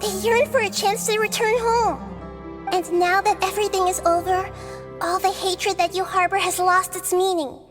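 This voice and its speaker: A woman voicing a gentle, wise little girl deity in a video game, with a soft, youthful, calm and earnest delivery. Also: very high voice, normal speed. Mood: firm, pleading. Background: game music.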